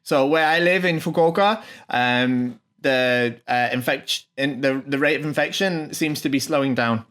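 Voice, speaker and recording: Chatty man with halting delivery. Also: medium pitch, a bit bright. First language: not Japanese. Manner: hesitant, many ums and uhs, restarts. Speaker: channel host translating a video message. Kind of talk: informal off-the-cuff video commentary and live translation.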